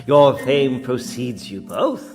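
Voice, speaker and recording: An actor playing a pompous, self-amused alien crown prince in a radio play, speaking formally and trailing off. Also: male voice, medium pitch, big pitch swings, slow pace, vocal fry, heavily acted. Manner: formal, grandiose, trailing off mid-speech. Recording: music behind the voice. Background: music.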